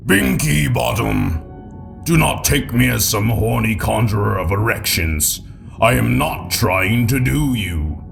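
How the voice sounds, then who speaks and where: A man voicing a weary, solemn old wizard with a booming voice in a comic story, music behind. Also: lively intonation, slow, creaky, full character voice. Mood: indignant. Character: weary old male wizard, lonely, solemn, easily offended. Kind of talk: comic audiobook character voice.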